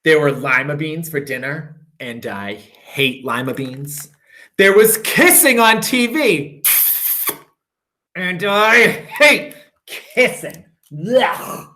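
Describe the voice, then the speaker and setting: Bright-voiced man reading a storybook aloud. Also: a little high. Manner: expressive, drawn-out emphasis on repeated phrases. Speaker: teacher. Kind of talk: reading a children's picture book aloud.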